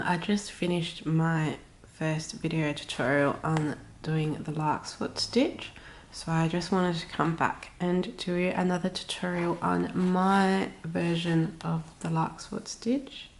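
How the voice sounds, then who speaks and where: Snuffly, creaky-voiced woman teaching crochet. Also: on the low side, steady tone, slow. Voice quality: snuffly, slightly stuffed-up nose. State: has a cold. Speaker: crochet tutorial host. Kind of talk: casual step-by-step craft tutorial.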